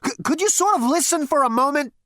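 A man's voice: high voice, very animated, moderate pace, a bit bright, no room sound, clean studio sound, pitched above the performer's own voice. A man voicing a brash alien adventurer who is flustered, stammering and hesitant, in a storybook reading. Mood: flustered, pleading. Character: brash male alien adventurer, flustered by his great-grandfather.

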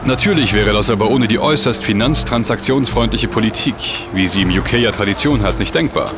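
A man's voice: fairly low pitch, fairly quick, music in the background, lightly acted. An actor playing a well-read, sceptical young man who explains facts at length and distrusts the rich. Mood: explaining.

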